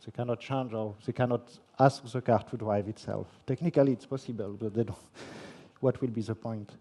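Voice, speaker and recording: A man from France with a French accent giving a technical conference presentation, halting often with fillers and restarts. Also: on the low side, steady tone, warm tone. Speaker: conference speaker. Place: conference hall.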